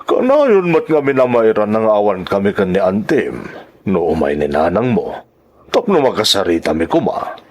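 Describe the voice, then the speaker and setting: A man playing a sympathetic but frank middle-aged uncle in a dramatic audio serial, talking in an animated, advising way. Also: lowish voice, normal speed. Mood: questioning. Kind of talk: dramatic radio-style serial acting.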